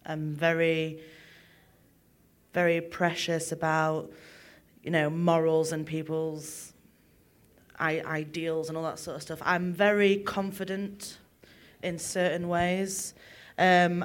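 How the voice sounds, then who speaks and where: A woman, a musician, talking openly in an interview, moving between soft, quiet moments and loud, animated ones. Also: low-pitched, slow, long pauses. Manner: open, expressive, swings from soft to loud. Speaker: musician guest. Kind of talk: candid interview conversation.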